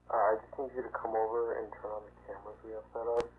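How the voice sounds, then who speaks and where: Worried young male caller played by an actor, hesitant and natural, low-leaning voice, narrow telephone sound, horror web drama. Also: monotone, fast. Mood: anxious, hesitant. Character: worried young man calling a friend for a favor. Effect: telephone filter. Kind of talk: naturalistic horror phone-call acting. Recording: tinny narrow phone line.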